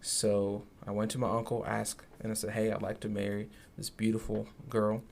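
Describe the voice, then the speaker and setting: A man's voice: on the low side, completely flat delivery, normal speed, creaky, darkish tone, a little hiss or hum. A man from Zimbabwe who is a podcast guest, telling stories in a casual, earnest way with many asides. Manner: earnest storyteller, many "you know" asides. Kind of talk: casual conversation.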